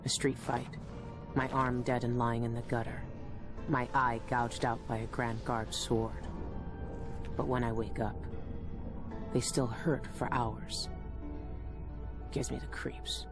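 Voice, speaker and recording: A woman's voice: extremely low pitch, flat delivery, takes it slowly, long pauses. A woman playing a weary, guilt-ridden former assassin in a video game, introspective and clipped over music. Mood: brooding. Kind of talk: brooding video game narration and dialogue.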